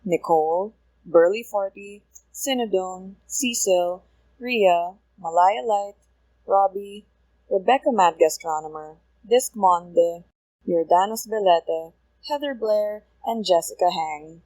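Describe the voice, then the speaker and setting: A woman's voice: medium pitch, slow pace, a little mellow, no room sound, poor audio. A woman podcaster reading a friendly scripted outro in an upbeat, chatty way. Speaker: podcast co-creator reading credits.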